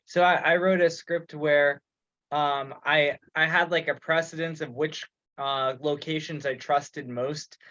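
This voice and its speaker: A man's voice: a little high, fairly even intonation, unhurried, sharp tone, no room sound. A man taking part in an online meeting, explaining technical procedures in a casual, conversational way. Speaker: meeting participant, technical organizer. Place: online teleconference call. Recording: dull lo-fi teleconference audio.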